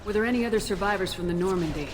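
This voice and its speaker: A woman's voice: mid-range voice, racing, natural voice. A woman playing a determined military commander in a video game, direct and questioning. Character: determined female military commander seeking answers. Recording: game music underneath.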